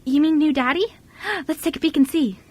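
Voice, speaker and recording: A woman playing a sweet, excitable little girl with a gushing, childlike manner in an amateur radio drama. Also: high-pitched, normal speed, natural voice. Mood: eager. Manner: gushing, childlike, gasping with wonder.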